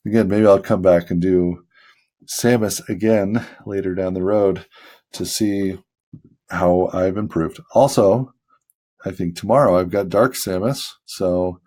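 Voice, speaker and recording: A man with a low, gravelly voice talks in a relaxed, rambling way, full of fillers. His tone is soothing and he jokes about his own skill. It feels like easygoing chat to viewers over a drawing session. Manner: rambling, relaxed, self-deprecating, many fillers. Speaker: hobby artist vlogger. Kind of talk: casual talk while drawing.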